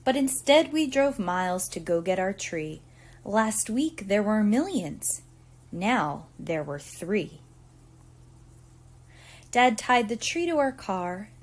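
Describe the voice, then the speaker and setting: A woman reading a picture book aloud to young children in an expressive, theatrical storytelling manner. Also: medium pitch. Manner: deliberate, theatrical storytelling with acted emphasis. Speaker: preschool teacher reading aloud.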